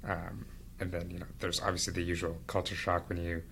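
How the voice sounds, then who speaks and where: Low, even-voiced man over background noise. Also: fairly quick, gravelly. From India and Venezuela, then Canada from age thirteen. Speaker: podcast guest, business executive. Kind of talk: conversational interview, reflective personal storytelling.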